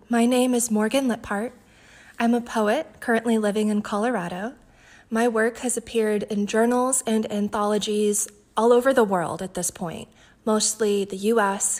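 A woman, a poet, introducing and reading her own work with a gentle, breathy delivery. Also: a little high. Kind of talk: poetry recitation with spoken introduction.

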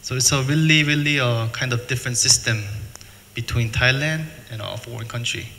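A man's voice: medium pitch, pushed and bright. Man presenting in a reverberant hall. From United States. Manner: plain halting English, repeats words. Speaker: seminar presenter. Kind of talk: conference presentation. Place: hotel function room. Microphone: table mic, filmed from afar. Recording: reverberant hall, faint noise.

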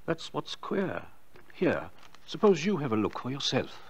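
A man's voice: on the high side, very animated, a little slow, breathy edge, full character voice. A man playing a puzzled, proper family doctor in a radio drama, speaking politely and with hesitation. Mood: puzzled, tactful.

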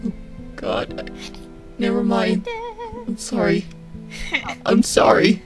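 A woman voicing an injured, anxious and fed-up young man in a halting, strained, bitter way, in an amateur comic dub. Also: mid-range voice, huge pitch swings, vocal fry, music in the background, full character voice. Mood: strained unease.

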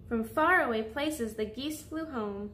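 A woman's voice: on the high side, a little slow, a bit bright, slight background noise. A woman reading a picture book aloud as a children's story-time host, speaking directly to her young listeners.

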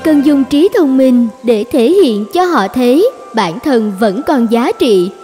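A woman narrating the moral of an animated fairy tale as a measured storyteller. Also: high voice, faint music behind. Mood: solemn. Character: measured female storyteller giving a fable's moral. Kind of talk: scripted narration.

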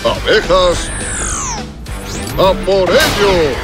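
A man's voice: sits high, lively intonation, extremely slow, heavily acted. A man voicing a decisive animal hero who leads his clan firmly in a cartoon. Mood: urgent commanding. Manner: firm, commanding. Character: decisive heroic male animal clan leader. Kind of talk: cartoon dub acting. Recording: loud music over the voice.

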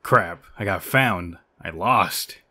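A man's voice: deep voice, slow pace, sharp tone, breathy edge, full character voice. Muttering, smug young gamer guy, acted by a man. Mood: reluctant muttering. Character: young male gamer shut-in, defensive, smugly vain. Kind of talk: manga dub.

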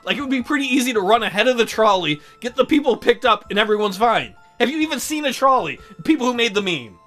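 A man's voice: very high voice, lively intonation, fast talker, fairly bright tone, faint music behind. A man hosting a casual let's play, rambling and joking in a crude, sarcastic way. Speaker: gaming video host.

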